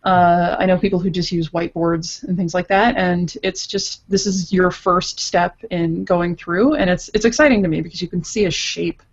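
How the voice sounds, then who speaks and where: A woman's voice: fairly low pitch, steady tone, normal speed, hardly any pauses, breathy edge, dull audio. A woman guest explaining things casually over an internet video call. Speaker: guest interviewee, visual novel writer.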